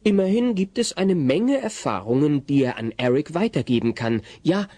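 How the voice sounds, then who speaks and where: A man narrates an audio play in a soft, dark, husky voice. His intonation moves expressively, and the sound is dry and close. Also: a little high, big pitch swings, normal speed, dry recording.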